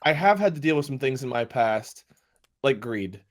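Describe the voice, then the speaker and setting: A man improvising a boastful job pitch, laughing at his own jokes in a salesman's patter while talking over a call. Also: big pitch swings, moderate pace. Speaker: player pitching himself as a job applicant.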